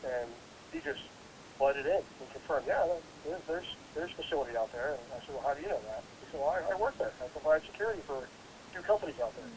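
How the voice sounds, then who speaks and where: A man's voice: a little high, lively intonation, fast, background noise. A congested man recovering from a head cold, talking casually and rambling with many restarts. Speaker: guest investigator. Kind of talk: casual interview.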